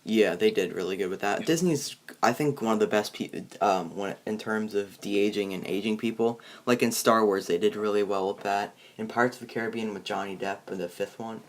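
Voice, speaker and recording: A man with a fairly low voice hosts a casual movie review conversation. He speaks in a halting way, breaking off mid-sentence and starting again as he works out his opinions. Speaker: host of a movie review show. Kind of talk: casual chat, film review.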